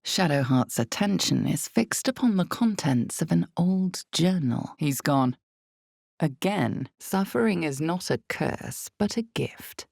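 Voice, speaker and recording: An all-knowing female storyteller of a dark fantasy video game, her voice extremely low and thick with gravelly fry. She speaks slowly and deliberately, with hushed, portentous weight on each phrase. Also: expressive, no room sound, studio quality, heavily acted. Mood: ominous, measured. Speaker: game narrator. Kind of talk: dramatic second-person fantasy game narration.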